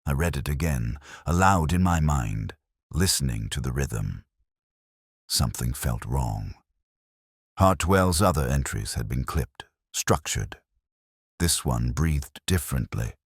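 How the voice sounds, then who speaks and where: Really low male voice reading a detective story, brooding and measured, moves around a lot, dry close sound. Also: animated, husky, no echo. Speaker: narrator. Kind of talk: scripted first-person detective story narration.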